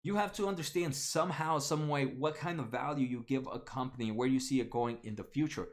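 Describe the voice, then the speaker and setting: A man's voice: mid-range voice, a little fast. A man in his late twenties hosting a casual stock market livestream, talking to viewers by name with lots of fillers and restarts. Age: 20s. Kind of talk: casual livestream chat reading viewer comments.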